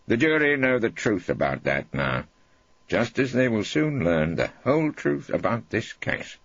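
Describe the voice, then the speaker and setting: A man's voice: fairly low pitch, sing-song, measured pace, fairly bright tone, dry recording, dull audio, pitched above the performer's own voice, exaggerated voice. A man voicing a combative, irreverent old English barrister in an audiobook, theatrical and relentless, with sardonic cross-examining. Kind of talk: audiobook character acting.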